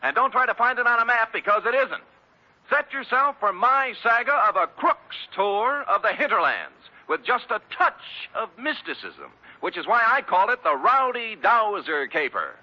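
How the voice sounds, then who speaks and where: An actor playing a brash, wisecracking private detective in a flippant, slangy 1940s radio style. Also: male voice, high-pitched, sing-song, normal speed. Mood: breezy, teasing. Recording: dull vintage radio recording.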